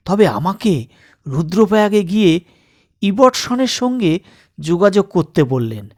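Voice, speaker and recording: A man narrating a suspense story as an audiobook, with measured, deliberate, dramatic phrasing. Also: high-pitched, no echo, studio quality. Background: night insect sound effects.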